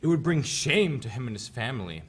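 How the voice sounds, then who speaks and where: A man's voice: mid-range voice, very animated, a little fast, slightly rough. A man playing a coldly polite colonial government envoy in a dramatic play reading, formal and condescending, with hesitant phrasing. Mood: dismissive, insistent.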